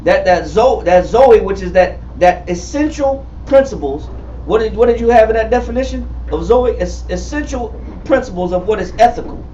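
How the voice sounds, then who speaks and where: A man in his forties teaching a Bible study, emphatic and repetitive, calling on readers and building to shouts. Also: high-pitched, animated, moderate pace, a little hiss or hum. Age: 40s. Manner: emphatic, repetitive, calls for readings, rising to shouts. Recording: far-off echoey room, muffled and lo-fi.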